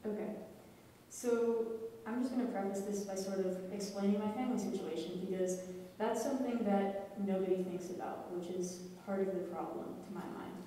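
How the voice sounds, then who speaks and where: A woman's voice: medium pitch, moderate pace, warm tone. A teenage girl on a student panel speaking directly and matter-of-factly. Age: teens. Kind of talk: personal testimony on a panel. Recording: echoey hall, far from the mic, faint background noise.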